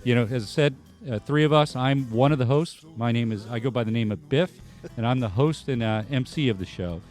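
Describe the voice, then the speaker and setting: A man's voice: medium pitch, a little fast, darkish tone. A man hosting a casual talk show, chatting loosely with lots of fillers and restarts. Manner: rambling, many fillers and restarts. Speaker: host. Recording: music bed behind. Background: faint band music.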